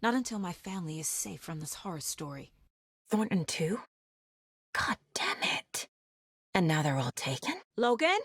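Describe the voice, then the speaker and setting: A woman's voice: lowish voice, big pitch swings, normal speed, fairly bright tone, husky, no echo, clean studio sound, exaggerated voice. A woman playing a serious, determined FBI agent in a video game, often musing to herself as she investigates. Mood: hushed, tense. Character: determined, level-headed female FBI agent investigating supernatural horror. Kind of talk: dramatic video game voice lines.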